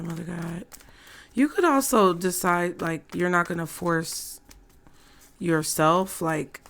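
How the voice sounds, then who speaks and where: Slow, hoarse woman reading tarot. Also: lowish voice, a little hiss or hum. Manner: slow, chatty, laughs often. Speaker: tarot reader. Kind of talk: casual tarot reading to viewers.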